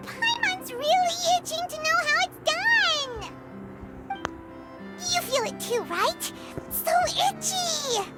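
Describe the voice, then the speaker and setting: A little floating fairy girl from a video game. Her squeaky, childlike voice is sky-high and excitable, swooping up and down. Loud music plays behind her. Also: exaggerated voice. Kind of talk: scripted video game voice acting.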